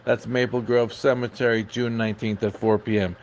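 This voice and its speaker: A man's voice: lowish voice, level tone, brisk, muffled recording, a little hiss or hum. Chatty, hesitant man giving informal events report. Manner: chatty, hesitant, frequently saying uh; enthusiastic. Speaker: podcast events correspondent.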